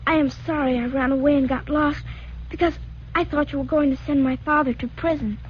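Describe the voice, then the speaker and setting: A woman's voice: sits high, fairly even intonation, brisk, a little hiss or hum, lightly acted. An actress playing a grateful little immigrant girl who speaks earnestly and a bit formally, in a 1940s radio drama. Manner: earnest, slightly formal speech. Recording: dull old radio broadcast.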